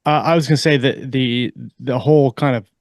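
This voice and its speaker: A man co-hosting a podcast in casual discussion, building his points haltingly in short pieces. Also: medium pitch, steady tone, dry recording, clean studio sound. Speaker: podcast co-host.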